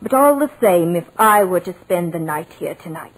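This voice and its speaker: A woman playing a superstitious, fussing housekeeper in a 1940s radio drama, earnest and scolding, with a husky voice. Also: lowish voice, animated, moderate pace, low-quality recording. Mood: ominous, reproving. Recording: vintage 1940s radio recording.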